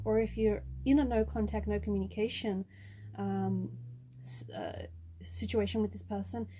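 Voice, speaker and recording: Female tarot reader, soft-edged mellow tone, speaks directly to the listener, repeats and rephrases key phrases. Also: mid-range voice. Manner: repetitive, rephrasing each point for emphasis. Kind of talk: intuitive tarot reading addressed to viewer.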